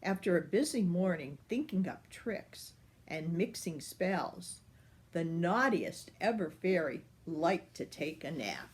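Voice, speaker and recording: An older woman reading a children's picture book aloud. She takes her time, and her voice rises and falls a lot, which keeps the story animated. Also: fairly low pitch, takes it slowly.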